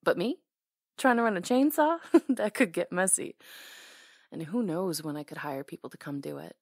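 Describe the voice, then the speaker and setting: A woman's voice: fairly low pitch, moderate pace, darkish tone, husky, no echo, clean studio sound. A woman acting in an intimate ASMR roleplay as a hair stylist, talking casually in a caring, teasing way.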